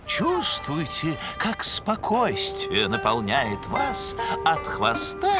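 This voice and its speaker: A man's voice: on the high side, wildly swooping pitch, takes it slowly, exaggerated voice. A man voicing a pompous, learned cartoon moose with theatrical, florid scholarly phrasing. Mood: serene, smug. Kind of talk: animated cartoon voice acting. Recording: cartoon soundtrack with loud music behind.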